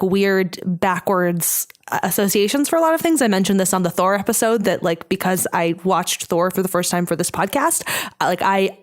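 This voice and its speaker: A woman co-hosting a podcast, chatting about films in a bubbly, giggly way, with many stumbling restarts. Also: medium pitch. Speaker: podcast co-host. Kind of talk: casual chat about films.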